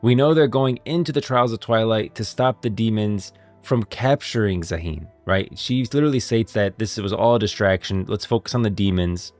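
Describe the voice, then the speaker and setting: A man's voice: lowish voice, normal speed, hardly any pauses, a little mellow, soft background music. A man hosting a stream, giving emphatic, explanatory commentary on video game lore, part read and part off the cuff.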